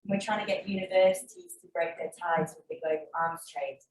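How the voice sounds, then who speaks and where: A woman's voice: fairly low pitch, steady tone, a little fast, distant mic. A young woman in the audience, a student activist, asking a chatty, casual question full of fillers. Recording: echoey hall from afar, faint noise.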